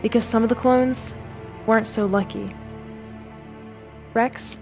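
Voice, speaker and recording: A woman giving scripted dramatic narration for an audio drama, telling the story in a measured, solemn way. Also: a little high, fairly even intonation, vocal fry, over music.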